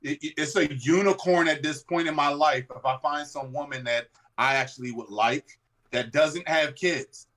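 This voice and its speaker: A man's voice: a little high, normal speed, fairly bright tone. A man in his thirties in a casual podcast chat, speaking frankly and bluntly. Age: 30s.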